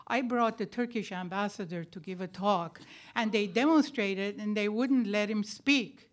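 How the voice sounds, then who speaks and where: An older woman speaks at a panel in a lecture room, telling her own story as an academic. Her voice sits at a medium pitch for a woman. She talks deliberately and leans on key words for emphasis, speaking into a stand microphone on the table. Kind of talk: personal account at a panel talk.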